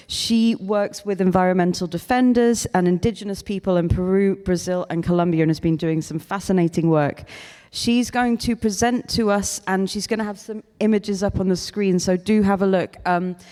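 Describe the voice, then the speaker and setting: A woman moderating a conference panel, introducing the next speaker in a friendly, brisk way. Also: mid-range voice, darkish tone. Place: large conference hall. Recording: long hall reverb.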